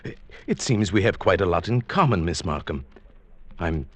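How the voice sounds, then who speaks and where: An actor playing a henpecked, mild older professor in an old-time radio drama, with a hesitant, formal, polite manner. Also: male voice, sits low, animated, normal speed, fairly bright tone, dry recording. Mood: shy, flattered.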